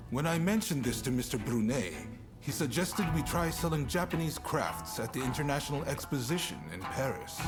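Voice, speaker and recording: A man's voice: mid-range voice, close to an everyday voice. A man playing an affable, commanding shogunate military officer in a video game cutscene, hearty and persuasive.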